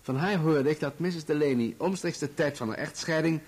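An actor playing a composed, matter-of-fact journalist giving measured, formal testimony in a radio drama. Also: male voice, on the high side, darkish tone, husky. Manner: measured, formal, factual recounting.

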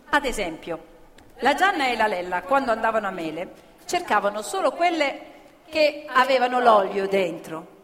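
A woman narrating as an actor on stage, in colloquial, conversational theatrical storytelling. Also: mid-range voice. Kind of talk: theatrical storytelling of oral history. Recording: hall reverb, live stage performance.

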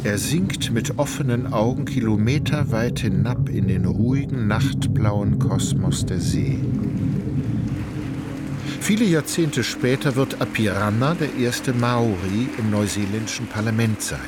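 A man narrating a literary radio play in a measured, precise, faintly ironic manner. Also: fairly low pitch, animated, heavy background noise.

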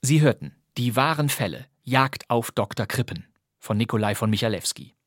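A male radio presenter with a mid-range voice reads scripted introductions and credits for radio plays. Music plays clearly behind him. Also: mid-range voice, lively intonation, normal speed.

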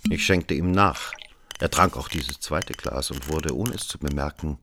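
An actor playing a worldly, sardonic gentleman who tells his own story in a literary radio drama. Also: a bass voice, measured pace, noisy background. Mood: reflective narration. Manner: literary narration, ironic in dialogue. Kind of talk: German radio drama narration and acting.

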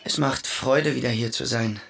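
A husky, reserved grown son. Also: male voice, medium pitch, normal speed, fairly bright tone. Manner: restrained, turning pleading. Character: adult son asking his widowed mother for money. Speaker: actor playing Adolf, a grammar-school teacher. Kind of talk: radio play acting.

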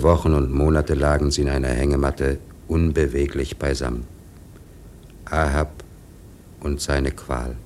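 A man narrating a radio play as grave, measured, dramatic literary storytelling. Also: very deep voice, a little mellow, slight background noise.

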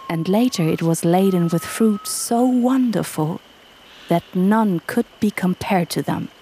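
An actress playing a gentle young woman reading a fable aloud in a radio drama, in an unhurried storytelling manner. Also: lowish voice, a little hiss or hum, low-quality recording.

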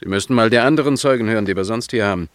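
Radio drama actor as desk policeman: male, lowish, rapid, official but chatty. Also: dry recording, clean studio sound, close to an everyday voice. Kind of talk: German radio drama acting.

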